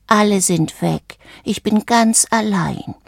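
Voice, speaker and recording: A woman plays a tiny lost bird in a children's story. The voice is small, soft and shy, hesitant and sweetly pleading, heard dry and close. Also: mid-range voice, slow, gravelly, no echo, exaggerated voice. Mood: tearful, pleading.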